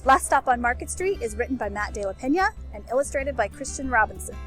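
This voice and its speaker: A woman with a slightly high voice presents a story time in a friendly, scripted style. Music plays behind her. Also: lively intonation, fast talker, a bit bright. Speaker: introducer of a story time video.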